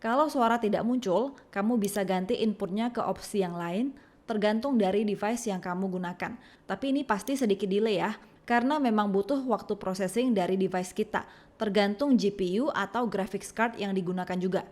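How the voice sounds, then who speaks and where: Indonesian lady doing a software tutorial voiceover, mid pitch, walks you through it step by step. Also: a little fast. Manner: clear step-by-step instructing. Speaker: tutorial narrator. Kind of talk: scripted software tutorial narration.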